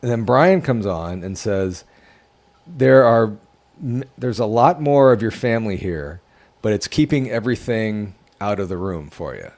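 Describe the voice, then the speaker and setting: Man with a lowish voice, earnest and halting, casual podcast storyteller. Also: expressive, darkish tone. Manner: earnest, emotional, halting retelling. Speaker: podcast co-host.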